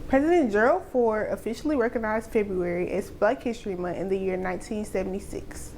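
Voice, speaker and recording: A woman's voice: medium pitch, brisk, darkish tone, a little hiss or hum, rough recording. A woman presenting a school program, reading scripted narration.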